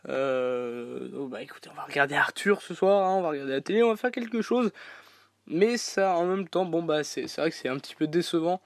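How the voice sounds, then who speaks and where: A man's voice: a little high, animated, a little fast, vocal fry, no room sound. A French man with a head cold, rambling through casual gameplay commentary with many fillers and restarts. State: congested with a cold. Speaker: gaming YouTuber.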